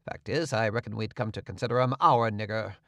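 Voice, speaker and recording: A man's voice: on the low side, a little fast, pushed and bright, no room sound, studio quality, pitched below the performer's own voice. A man voicing a scheming middle-aged male swindler who grumbles, threatens and gives orders. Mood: grumbling.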